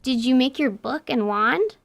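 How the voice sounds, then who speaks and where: A woman's voice: high-pitched, unhurried, vocal fry, fairly bright tone, heavily acted. A woman voicing a curious, talkative young girl adventurer in an audio drama. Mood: earnest.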